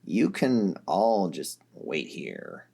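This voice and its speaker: A man voicing an angry, foul-mouthed young woman who growls her words slowly and low. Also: huge pitch swings, creaky, warm tone, breathy edge, full character voice. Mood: quiet.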